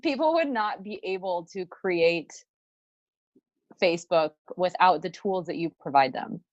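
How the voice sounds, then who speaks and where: A woman's voice: fairly low pitch, expressive, measured pace, no echo. A woman hosting a podcast, asking casual questions, often laughing and rephrasing as she goes. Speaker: podcast host. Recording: dull, muffled sound, dry.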